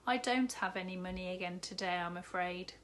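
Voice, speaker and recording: A woman's voice: on the low side, fairly quick, a bit bright, slight background noise, lightly acted. A woman voicing a sad, hungry young schoolgirl who speaks sheepishly and mumbles.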